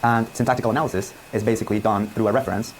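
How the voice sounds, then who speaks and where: A man's voice: on the low side, steady tone, rapid-fire, faint music behind, rough recording. A man giving a classroom lecture, explaining in a questioning, step-by-step way. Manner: explanatory, asks and answers his own questions.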